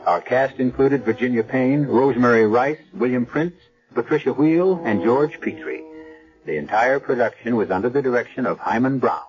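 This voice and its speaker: A man's voice: medium pitch, moderate pace. A man narrating a radio drama, telling the ending and reading out the credits in a reflective storytelling manner. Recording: dull lo-fi radio broadcast with music.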